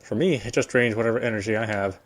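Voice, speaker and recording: A man's voice: fairly low pitch, fast. Man narrating a story game aloud. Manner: narrating, stumbles and rereads phrases. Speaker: gamer reading story text in a playthrough. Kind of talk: reading visual novel narration aloud.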